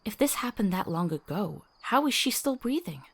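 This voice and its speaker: A woman playing a quick-witted, compassionate travelling companion in a fantasy visual novel. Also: medium pitch, sing-song, creaky. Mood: concerned.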